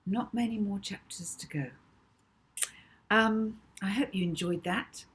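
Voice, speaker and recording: A woman author reading her children's novel aloud with dramatic, deliberate emphasis. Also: medium pitch, a little hiss or hum. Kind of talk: expressive children's audiobook narration.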